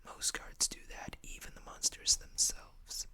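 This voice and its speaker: An ASMR host who speaks entirely in a whisper, with a bright, crisp edge to the breath. The delivery is hushed and deliberate, moving between reading game card text and casual commentary.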